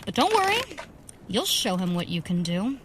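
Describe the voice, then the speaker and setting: A woman's voice: mid-range voice, big pitch swings, moderate pace, background noise, close to an everyday voice. A young woman acting as a brash, headstrong vigilante in an audio drama, taunting and eager to prove herself. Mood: self-consoling.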